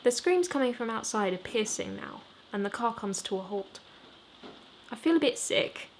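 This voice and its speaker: A woman reading her own novel aloud in an expressive audiobook narration style, as its author. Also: on the high side, normal speed. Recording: steady background noise. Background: rain ambience.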